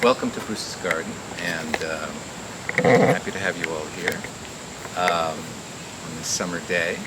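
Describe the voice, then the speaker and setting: Man, fairly low pitch, live show host and magician, informal, halting, rambling, loud noise behind. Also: steady tone. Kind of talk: informal live welcome and stage patter. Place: outdoor community garden event. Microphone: live mic through a speaker. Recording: very noisy outdoor live recording.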